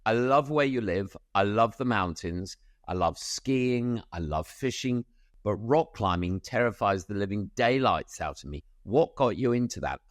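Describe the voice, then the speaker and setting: A man's voice: on the low side, lively intonation, unhurried, no room sound, studio quality. A man hosting a medical podcast, reading a formal guest introduction and then chatting with many small hesitations. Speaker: podcast host.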